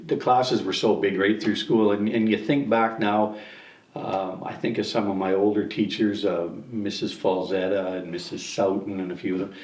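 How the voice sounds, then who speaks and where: A man's voice: low voice, darkish tone. A man in his sixties from Ontario, Canada, telling nostalgic stories about his school days. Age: 60s. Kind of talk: documentary interview. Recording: roomy sound from a distant mic.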